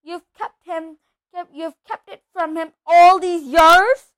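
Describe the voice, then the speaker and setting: A woman voicing a huge, gruff, fiery-tempered man in a storybook, booming and rustic in his turns of speech. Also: animated, unhurried, pushed and bright, exaggerated voice. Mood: furious.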